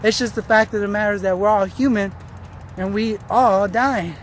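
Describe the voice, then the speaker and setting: A man in his thirties with a high-pitched voice talks casually into a phone held at arm's length on a roadside path. He rambles in slangy, repetitive bursts, often breaking off and restarting a thought. Faint outdoor traffic and ambient noise runs behind him. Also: fairly even intonation, moderate pace. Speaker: vlogger. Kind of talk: casual walking vlog monologue.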